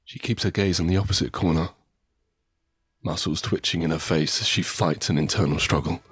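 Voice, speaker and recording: An actor playing an anguished, guilt-ridden survivor in a post-apocalyptic audio drama, brooding and halting, in a hushed confessional manner. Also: male voice, low voice, husky, dry recording, exaggerated voice. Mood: pained, helpless. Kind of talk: dramatic first-person audio drama narration.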